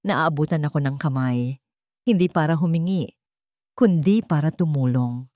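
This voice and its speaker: A woman's voice: deep voice, moderate pace, mellow, dry recording, clean studio sound, close to an everyday voice. A woman voicing a betrayed elderly widowed father in an audio story, speaking solemnly, with emotion and emphasis. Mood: pained, earnest. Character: betrayed elderly widowed father, dignified, wounded but resolute. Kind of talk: dramatic audio-story dialogue.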